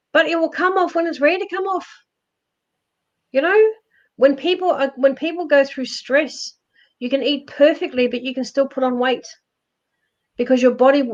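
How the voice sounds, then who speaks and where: A woman with a loud voice, giving emphatic, reassuring life advice in a casual live-stream chat. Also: high-pitched. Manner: emphatic, reassuring, repeats herself. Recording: dull lo-fi stream audio.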